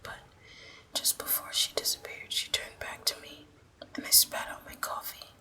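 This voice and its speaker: A woman's voice: moderate pace, sharp tone. Woman whispering a scary story, ASMR style. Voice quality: breathy, unvoiced whisper.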